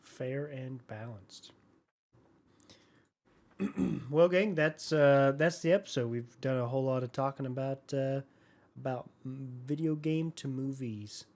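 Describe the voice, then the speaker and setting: A man's voice: mid-range voice, a little slow, darkish tone. Easygoing man hosting a casual podcast. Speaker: podcast host.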